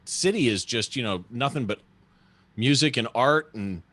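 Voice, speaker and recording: A man's voice: mid-range voice, expressive, normal speed, a bit bright. Curious man co-hosting a stream. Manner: curious, asks follow-up questions. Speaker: co-host. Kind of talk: casual live-stream chat.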